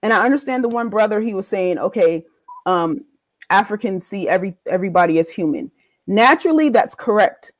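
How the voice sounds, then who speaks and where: A woman argues her point with force, often saying the same line twice for weight. Her voice sits at a middle pitch. The sound is dry and close, with no room echo. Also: expressive, brisk. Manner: emphatic, repeats points for stress. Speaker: guest in a discussion. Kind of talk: passionate discussion.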